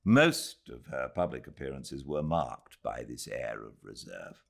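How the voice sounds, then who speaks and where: A British man narrating a literary classic as an audiobook reader, with measured, dramatic phrasing and expressive delivery. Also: a bass voice, vocal fry. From Britain.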